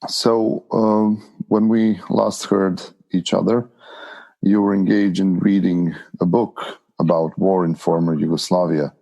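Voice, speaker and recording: Male interviewer; low, gravelly, mellow voice, formal and courteous. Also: level tone, unhurried, rough recording. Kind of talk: podcast interview questions.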